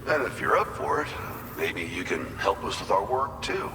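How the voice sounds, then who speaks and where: A man's voice: fairly low pitch, very animated, normal speed, echoey room, in a large hall, noisy background. An actor playing an earnest, wistful male colonist in a video game, talking in a sincere, conversational and folksy way. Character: earnest, wistful male colonist grieving his lost brother. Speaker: game character briefing the player. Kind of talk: cinematic video game dialogue.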